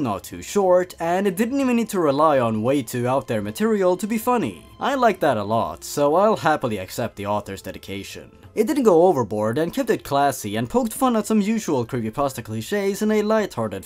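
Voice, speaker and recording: A man hosting a comedic story reading, theatrical and amused in his reactions. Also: mid-range voice. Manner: theatrical, amused, chuckles between lines. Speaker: host of a creepypasta reading channel.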